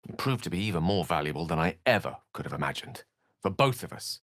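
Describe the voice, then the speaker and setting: An actor playing a learned, wry wizard adventurer in a video game, with a British accent and an eloquent, theatrical way of talking. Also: male voice, low voice, lively intonation, fast, fairly bright tone, no room sound, studio quality. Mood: wry, measured. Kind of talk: video game character voice acting.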